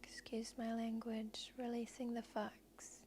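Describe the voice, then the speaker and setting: A woman guiding a meditation in a soft, breathy, whispery voice, speaking gently and soothingly with long unhurried pauses. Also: fairly high pitch, completely flat delivery, slight background noise. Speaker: yoga nidra guide and host. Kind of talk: guided meditation narration. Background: background music.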